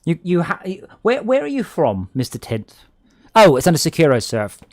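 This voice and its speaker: A man's voice: a little high, normal speed, no echo, studio quality. Chatty southern English man gaming. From England. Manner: chatty, excitable, jokey, tangents. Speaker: streamer playing online with friends. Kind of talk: casual gaming chat.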